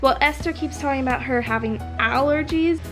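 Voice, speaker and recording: A woman's voice: sits high, a little fast, over music. A teenage young woman, slightly congested, casually telling stories with emphatic repetition while chatting on a couch. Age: teens. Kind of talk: casual chat, storytelling. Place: living room couch, indoors. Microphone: compact camera mic at about arm's length.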